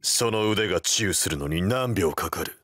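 A man voicing a stoic, tough young delinquent hero in a Japanese video game, terse and rough-spoken with cool defiance. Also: low voice, animated, moderate pace, a bit bright, dry recording, soft background music. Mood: defiant.

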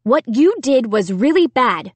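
A woman's voice: fairly high pitch, lively intonation, measured pace, dry recording. A woman voicing a stern mother who scolds her children firmly and sharply in a storybook. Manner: firm, sharp, commanding.

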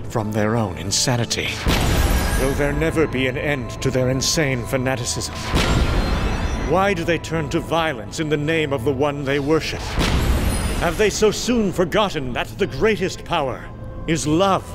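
A man playing a noble, sorrowful alien hero in a motion comic, declaiming in an earnest, lofty and measured way. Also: a little high, loud music behind the voice, natural voice. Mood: sorrowful brooding. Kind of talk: motion comic dramatic acting.

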